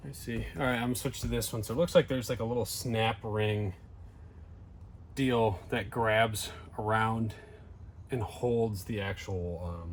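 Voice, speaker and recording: A man's voice: on the low side, steady tone, unhurried, a little hiss or hum. A man casually narrating a hands-on DIY job as he works, thinking aloud in a tentative way. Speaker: DIY video host.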